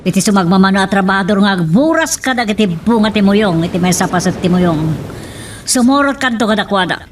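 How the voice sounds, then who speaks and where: A woman's voice: medium pitch, expressive, fairly quick, natural voice. A woman playing a bossy, haughty mistress of a wealthy estate in a radio drama. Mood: commanding. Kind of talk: Ilocano radio drama acting. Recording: distant mic with faint background noise.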